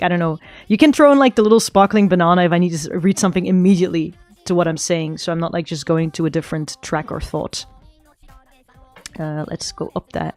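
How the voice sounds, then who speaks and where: A woman chats casually on a stream while she draws. Her is Dutch, her pitch sits in a middling range, and she rambles, thinking aloud and restarting her sentences. Soft music plays faintly behind her voice. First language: Flemish Dutch. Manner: rambling, thinking aloud with frequent self-corrections. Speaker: virtual streamer. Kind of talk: casual chat while drawing, answering chat.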